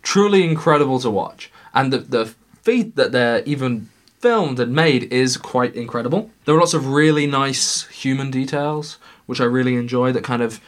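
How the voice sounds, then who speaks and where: A man's voice: medium pitch, normal speed. A man hosting a casual film review podcast, talking at length with lots of "uh" and "kind of" fillers. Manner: talkative, frequent fillers and hedges.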